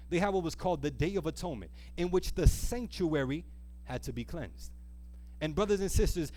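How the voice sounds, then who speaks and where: Higher-voiced man preaching an earnest sermon. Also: darkish tone. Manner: earnest, rhetorical, stresses and stretches key phrases. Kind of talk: sermon with scripture reading and call-and-response questions. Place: live church service.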